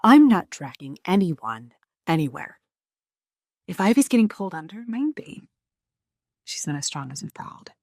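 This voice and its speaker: A woman's voice: lowish voice, sing-song, measured pace, long pauses, a little mellow, no echo, studio quality, full character voice. A woman playing a cool, defiant, sardonic wealthy woman facing her ex in an audio drama, with a taunting manner.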